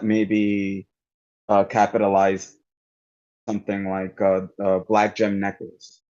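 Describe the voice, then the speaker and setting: A man giving casual feedback on an online call in a hesitant, halting way, often repeating words. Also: low voice, darkish tone. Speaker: participant giving writing feedback. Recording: dull lo-fi online call audio.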